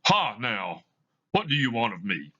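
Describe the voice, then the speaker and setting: A man's voice: mid-range voice, big pitch swings, unhurried, bright, forceful tone, breathy edge, dull audio, heavily acted. A man playing a grumpy, skeptical old miser who is rattled yet defiant, blustering through a play reading. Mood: wary. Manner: blustering, exclamatory.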